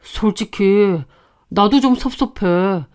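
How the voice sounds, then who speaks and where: Sullen boyfriend in an audio story, acted by a woman: smooth voice, reluctant, stiff, grudging. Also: medium pitch, takes it slowly, darkish tone, close to an everyday voice. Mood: sullen, reproachful. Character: reluctant, sullen adult boyfriend siding with his mother. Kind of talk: dramatized storytelling.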